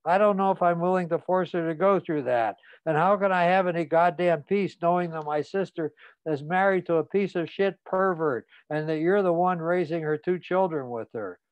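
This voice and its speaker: A man reading an audiobook, voicing an enraged father who curses and shouts. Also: fairly high pitch, normal speed, clear, resonant tone, no room sound, muffled recording, voice pushed up, natural voice. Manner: shouting, cursing, hostile. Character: furious middle-aged father, enraged and cursing.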